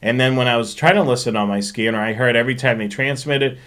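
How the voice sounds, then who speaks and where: A man with a mid-pitched voice hosts a casual live stream. He talks informally, explaining technical points and thinking out loud as he answers questions. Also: fast. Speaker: host of a railfanning live show.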